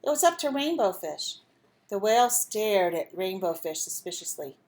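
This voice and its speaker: A woman reading a storybook aloud as a teacher would, pausing to put simple questions and instructions to young listeners. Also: mid-range voice, very animated, a little mellow. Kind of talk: storybook read-aloud with asides to children.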